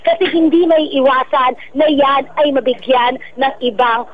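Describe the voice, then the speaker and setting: A woman's voice: high voice, moderate pace, phone-quality sound, a little hiss or hum. A Filipino woman co-hosting a radio show, giving casual advice in a playful, giggly and sometimes preachy way. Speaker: radio co-host.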